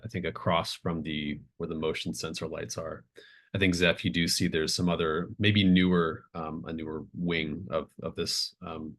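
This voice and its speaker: Man, very low voice, game master, improvised narration, conversational, pausing to think, casual. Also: a bit bright. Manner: hesitant, thinking aloud, conversational. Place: remote online group call.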